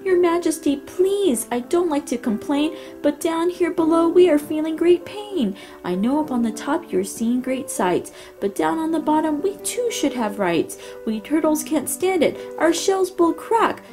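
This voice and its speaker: A woman's voice: high voice, very animated, moderate pace, music in the background, voice pushed up. A woman voicing a plain, humble little male turtle who pleads politely through his aches. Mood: pleading.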